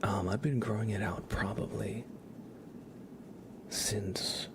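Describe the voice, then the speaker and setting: A man hosting an ASMR livestream, speaking in a hushed, breathy whisper as he chats with viewers. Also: fairly low pitch, fairly even intonation, slow pace, heavy background noise. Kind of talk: ASMR, live chat with viewers.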